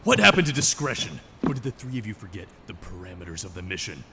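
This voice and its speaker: A man's voice: fairly low pitch, sing-song, quick pace, vocal fry, bright, forceful tone, a little hiss or hum, full character voice. A man playing an indignant, sarcastic government agency official in a drama, confrontational in manner. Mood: angry. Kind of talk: dramatic dialogue.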